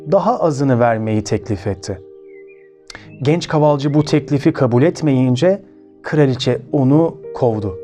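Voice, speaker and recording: A man with a Turkish accent hosts story time for children in a lively, swooping delivery. His voice is soft-edged and a little higher than average. He talks straight to his young listeners as friends, asking questions, over a light music bed. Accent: Turkey Turkish. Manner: expressive, addresses listeners as friends. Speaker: children's storytelling show host.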